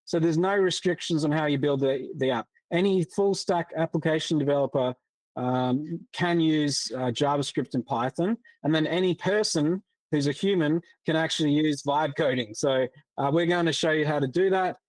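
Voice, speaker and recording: A man presenting a software demo in a casual way, with many fillers and restarts. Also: a little high, normal speed, no echo, rough recording. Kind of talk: explaining a tech demo.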